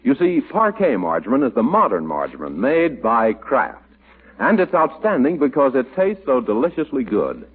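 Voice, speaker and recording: An American man announcing and reading sponsor copy in polished 1940s radio style. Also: mid-range voice. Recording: tinny, rough vintage radio broadcast.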